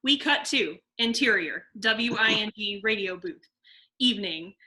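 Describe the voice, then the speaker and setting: A woman's voice: mid-range voice, animated, unhurried, sharp tone, low-quality recording. A woman reading scripted stage directions as narrator at an online table read. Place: online video call.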